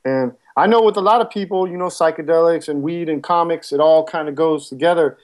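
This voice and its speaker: A man in his forties with an East Coast American accent, speaking a bit higher than most men. He tells his own story as a guest in a relaxed, matter-of-fact and candid way. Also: a little fast. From California.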